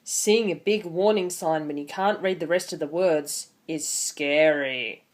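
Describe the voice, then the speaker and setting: A woman's voice: low-pitched, a little slow. A woman in her twenties hosting an online video, talking casually and reading a picture book aloud with theatrical emphasis. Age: 20s.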